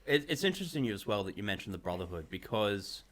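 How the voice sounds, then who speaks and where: A man's voice: fairly low pitch, sing-song, fast, fairly bright tone. A man in his thirties casually hosting an interview. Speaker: interview host. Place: small room studio, indoors. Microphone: close podcast microphone.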